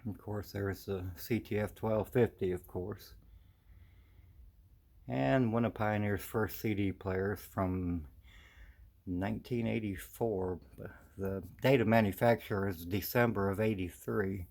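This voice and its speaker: A man with an American accent chatting casually and haltingly for a video, his articulation a little slurred from missing teeth. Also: fairly low pitch, fairly even intonation, gravelly, darkish tone. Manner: hesitant, halting, folksy. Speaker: vintage audio collector presenting his channel. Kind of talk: casual unscripted video chat.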